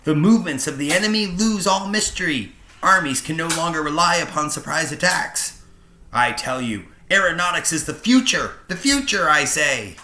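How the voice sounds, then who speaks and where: Brief: high, bright, theatrical young airship pilot, voiced by a man, echoey room. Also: animated, normal speed, slight background noise. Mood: enthusiastic. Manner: theatrical, wailing, grandly enthusiastic. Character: young excitable male Civil War balloon pilot.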